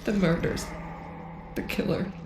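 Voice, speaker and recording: An actress playing a tormented woman fighting for control of her mind in a game cutscene, strained, halting and pleading. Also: echoey room, background noise, lightly acted. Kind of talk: dramatic video game cutscene acting.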